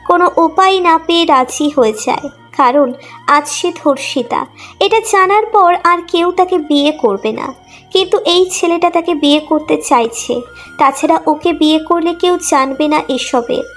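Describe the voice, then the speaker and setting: A woman's voice: high-pitched, normal speed, fairly bright tone, faint music behind. A woman reading a scripted emotional romantic story as its narrator.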